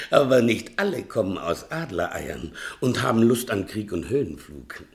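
A fat, wheedling manservant with lofty artistic airs in a vintage radio play. His low, gravelly voice takes its time and swings widely from hushed self-pity to grand, melodramatic declaiming. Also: very animated, unhurried, full character voice. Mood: wry storytelling. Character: fat, self-pitying servant, theatrical self-styled playwright. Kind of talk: 1960s German radio play acting.

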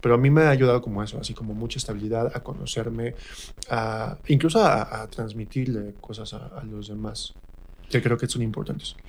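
A man's voice: medium pitch, steady tone, fairly quick. A man with a Mexican accent talking informally and reflectively as a guest in an interview. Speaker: interview guest, stage actor. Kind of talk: casual interview conversation.

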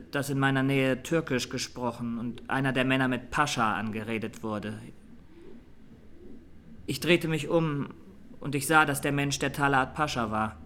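A man playing a traumatised, earnest young Armenian student giving plain, deliberate testimony as a defendant in a radio drama. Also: mid-range voice, pushed and bright, a little hiss or hum, close to an everyday voice. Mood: haunted, subdued.

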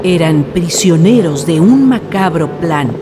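A man's voice: on the high side, lively intonation, measured pace, noisy background. A man narrating a scripted horror radio drama in a solemn, dramatic manner. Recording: radio play with music underneath. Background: music.

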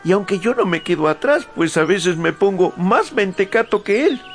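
A servile but cunning peasant squire in a radio play. His voice is very high and creaky, swooping widely as he wheedles and pleads, with faint music behind. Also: expressive, normal speed. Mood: scheming. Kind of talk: radio drama acting.

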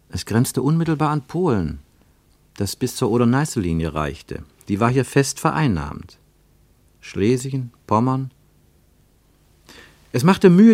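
An actor narrating a literary radio play in a measured, precise, gently ironic manner. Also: male voice, medium pitch, dry recording. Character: literary narrator of a Cold War journey, wry, measured.

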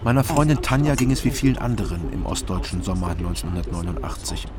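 Low-voiced, laconic private detective. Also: male voice, expressive, fast talker, a crowd in the background. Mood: sober. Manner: dry, matter-of-fact, sober. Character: laconic male East German private detective narrating his case. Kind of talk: first-person crime radio drama narration.

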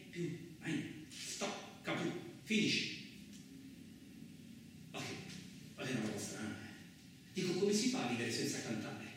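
A gruff truck driver ranting. His voice sits rather high, and he rambles and repeats himself in coarse, everyday speech. The sound is heavily echoing and somewhat far from the mic. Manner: colloquial, rambling, repetitive, coarse interjections. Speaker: stage and radio actor. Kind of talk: theatrical radio monologue. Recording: very echoey, distant, noisy room recording.

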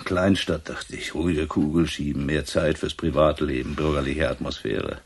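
An actor playing a gruff, disillusioned provincial police inspector in a radio crime drama, terse and colloquial. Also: male voice, a bass voice, steady tone, moderate pace, a little hiss or hum. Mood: bitter, sardonic.

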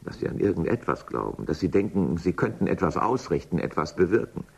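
Deep gravelly world-weary official. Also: male voice, big pitch swings, brisk, darkish tone, heavily acted. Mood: resigned. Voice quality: gravelly, husky. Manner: moody, rambling, muttering. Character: world-weary, capricious, cynical male official in dystopian future. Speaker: high-ranking boss. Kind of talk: German science fiction radio drama.

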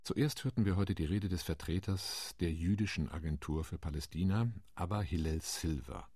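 An actor playing a Latin American UN delegate recounting committee intrigue in a radio play monologue, with a measured, ironic telling. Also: male voice, low voice, a little mellow, breathy edge, dry recording, clean studio sound.

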